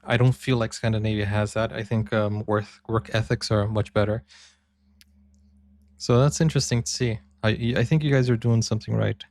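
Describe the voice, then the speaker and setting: A man's voice: fairly low pitch, steady tone, normal speed, darkish tone. A man hosting a reaction video, commenting casually and thinking aloud with fillers.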